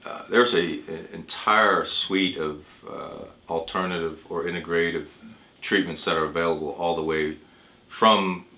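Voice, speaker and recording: Man playing a very deep-voiced, measured doctor. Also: fairly even intonation, pushed and bright. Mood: explanatory, measured. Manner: measured, hesitant, explanatory. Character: reassuring male cancer doctor leading a care team. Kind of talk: amateur role-play dramatization. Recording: echoey room.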